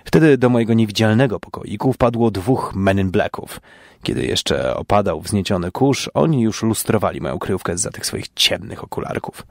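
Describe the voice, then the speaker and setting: A man's voice: on the low side, expressive, normal speed, gravelly, no echo, clean studio sound. A man reading first-person fiction in a dramatic storytelling style. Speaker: storyteller, audio show host.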